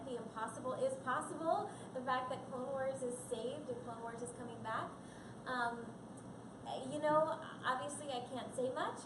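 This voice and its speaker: Earnest high-voiced woman in an echoey shop. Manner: earnest, chatty, many fillers. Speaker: voice actress guest on a panel. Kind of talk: live panel talk. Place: coffee and comics shop event. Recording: echoey room, distant mic, crowd noise.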